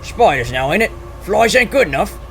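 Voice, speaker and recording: A rough asylum attendant, acted by a man, taunting and scolding in a radio play. His gravelly, fairly high voice jumps about in pitch. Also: sing-song, normal speed, fairly bright tone, a little hiss or hum, exaggerated voice. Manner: taunting, colloquial. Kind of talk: stage radio drama acting.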